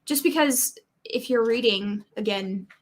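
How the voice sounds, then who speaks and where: Female book club co-host, higher-pitched voice, casual conversational discussion. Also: unhurried.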